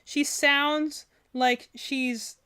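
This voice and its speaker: A woman with a slightly high voice talks casually as a streamer reacting to game videos. Her commentary is unscripted and rambling, as she thinks out loud through each opinion. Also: steady tone, extremely slow.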